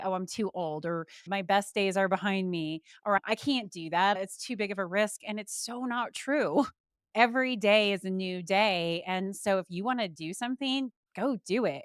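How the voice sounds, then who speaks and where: A woman's voice: medium pitch, clean tone, no room sound, studio quality. A woman hosting a podcast, speaking in an enthusiastic, friendly way. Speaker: podcast host. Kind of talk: podcast interview and outro.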